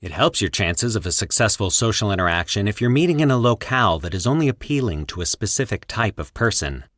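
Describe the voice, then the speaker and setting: Low-voiced man narrating, close and dry. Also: no echo. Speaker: podcast host and narrator. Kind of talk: scripted podcast narration.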